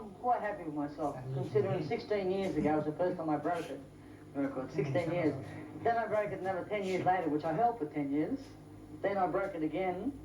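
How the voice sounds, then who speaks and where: A male trampoline athlete speaking modestly and matter-of-factly in a short TV interview, from an archive clip. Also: sits high. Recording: distant, roomy archive TV audio with music.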